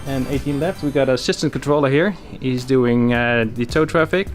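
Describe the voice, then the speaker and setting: A man's voice: medium pitch, brisk. Matter-of-fact man explaining, music behind. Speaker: air traffic control tower guide. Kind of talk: informal explanatory tour talk.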